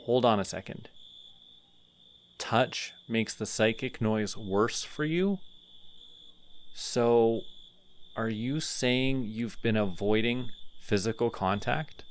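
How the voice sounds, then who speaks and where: A sardonic male telepath from an audio roleplay, taking his time over every barb, as a man acts him out. His voice sits in a middle register and his pace stays slow and deliberate, with crickets faintly chirping behind him. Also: mid-range voice. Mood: gentle. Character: sardonic male telepathic villain, cocky then tender.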